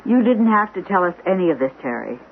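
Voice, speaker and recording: Radio play fretful friend: high, very lively pitch, flustered delivery, muffled vintage sound. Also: male voice, quick pace, gravelly, full character voice. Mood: concerned, startled. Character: excitable, concerned male friend questioning the captain. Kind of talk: radio drama acting. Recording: muffled lo-fi radio with faint noise.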